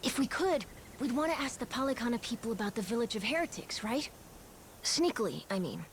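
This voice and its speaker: A curious young crew kid for a video game. The voice is very high, earnest and questioning, with informal phrasing and faint ambient noise behind it. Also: female voice, big pitch swings, brisk. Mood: eager, scheming. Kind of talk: video game cutscene acting.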